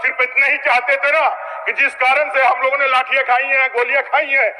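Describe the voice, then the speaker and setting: A man's voice: extremely high pitch, little pitch movement, a little fast, bright, forceful tone. A man gives a combative, accusatory political speech in an assembly, repeating phrases for emphasis. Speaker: opposition legislator. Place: large indoor legislative chamber. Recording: reverberant hall, public address sound.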